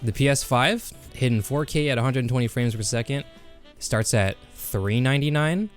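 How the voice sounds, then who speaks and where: A young man hosting a casual gaming chat, excitable, swearing and saying "bro" a lot. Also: medium pitch, moderate pace, soft background music. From Kansas. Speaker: podcast host.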